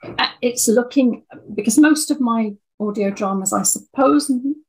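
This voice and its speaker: An older woman, over sixty, talking reflectively in an interview, thoughtful and halting as she repeats words. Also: a little high, a little mellow, slightly rough, poor audio. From Scotland. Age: 60s or older. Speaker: interview guest, audio dramatist.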